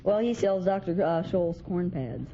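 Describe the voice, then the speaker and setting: A man's voice: sits high, animated, moderate pace, crowd noise. A thirteen-year-old boy from New York City giving hesitant, polite, bashful answers as a contestant. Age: 13, young teenager. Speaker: quiz show contestant. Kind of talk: halting interview answers. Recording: noisy, dull vintage radio recording. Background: heavy noise.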